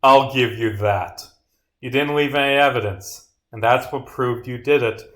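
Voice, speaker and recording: A stern detective from a mystery game, read by a man. The mid-pitched delivery is deliberate and accusing, leaning hard on key words. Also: fairly bright tone, natural voice.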